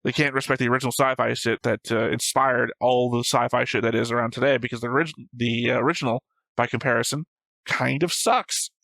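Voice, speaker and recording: A man with a medium-pitched voice talks casually as co-host of a reaction show. He rambles and jokes his way through tangents. The sound is close and dry. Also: fairly even intonation, brisk, gravelly, fairly bright tone, dry recording. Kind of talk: casual discussion and commentary.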